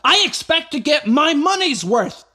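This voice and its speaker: A man's voice: very high-pitched, sing-song, a little slow, pushed and bright, exaggerated voice. A man playing a blustering nightclub boss and emcee in a radio play, bombastic and emphatic, with crowd sounds behind. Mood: irate.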